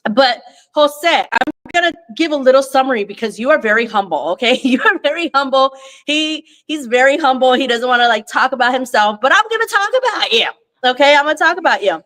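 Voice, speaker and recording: A woman hosting a live interview at a co-working space, chatty and effusive, with a voice that is going hoarse and breaking into coughs. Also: sits high, animated, a little fast, fairly bright tone. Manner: chatty, effusive, restarts often. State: losing her voice, coughing. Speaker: host, consulting CEO.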